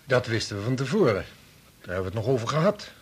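An actor playing a sober, probing research colleague who questions in a measured way, in a radio play. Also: male voice, medium pitch, sing-song, slightly rough. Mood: measured, serious. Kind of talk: Dutch radio play acting.

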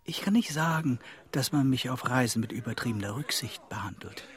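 Meek, expressive young traveller played by a man. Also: medium pitch, brisk, lightly acted. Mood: resigned, modest. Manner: timid, deferential, swinging from confiding to stammering. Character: meek, apologetic young traveller, endlessly uncomplaining. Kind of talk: radio play monologue.

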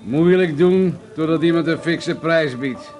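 An actor playing a crude, boozy, domineering older man in a Dutch radio play, gruff and mocking, given to swearing bluster. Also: fairly high pitch, a little slow, darkish tone, faint music behind, lightly acted.

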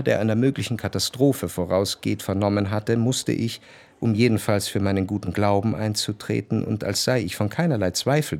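An actor playing a reflective society narrator in a literary radio drama, with a measured, introspective way of talking. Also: male voice, on the low side, creaky, a little mellow. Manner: measured, elaborate, introspective phrasing. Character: reflective male narrator recalling high-society soirées.

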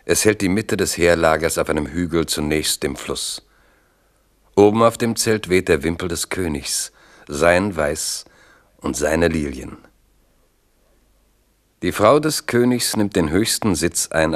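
A man narrating a radio drama in a steady, formal manner. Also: low voice.

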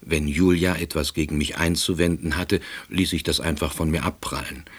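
A pedantic, self-deceiving husband telling his own story in a radio drama. His voice is deep and husky, and his delivery is precise and deadpan. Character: hapless, pedantic, self-deceiving husband turned novice salesman. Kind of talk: first-person radio play narration.

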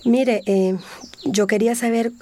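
An actress playing a weary, timid migrant woman in a radio drama, halting and hesitant. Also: on the high side, fairly even intonation, mellow.

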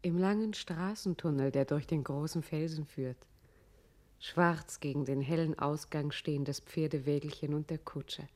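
Scene-setting narrator, deep for a woman, sober, measured, radio drama. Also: warm tone. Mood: descriptive. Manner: measured, descriptive. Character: sober female narrator, describing scenes in detail. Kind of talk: German radio play narration.

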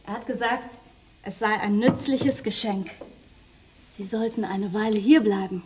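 An actress playing a seductive, mysterious, self-assured woman in a crime radio play, with breathy, teasing, coaxing delivery. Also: mid-range voice, unhurried, background noise, lightly acted. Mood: soft, insinuating. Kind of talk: 1990s German crime radio play acting.

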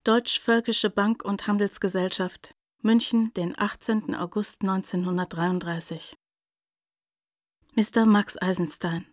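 An actress playing a formal announcer who reads out letterheads precisely in a radio drama. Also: female voice, medium pitch, fairly even intonation, measured pace, no echo, clean studio sound, lightly acted. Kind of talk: scripted reading in radio drama.

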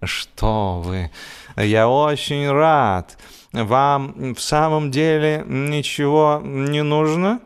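A man's voice: a little high, clear, resonant tone, voice pushed up. A man voicing a huge old tomcat, brazen and self-assured, drawing out his words with exaggerated courtesy in a comic audiobook. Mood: smug, polite. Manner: slow, drawn-out, exaggerated politeness.

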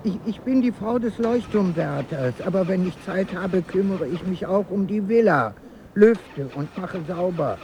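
An actress playing a brisk, matter-of-fact lighthouse keeper's wife in a radio drama. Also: female voice, fairly low pitch, animated, warm tone, slight background noise.

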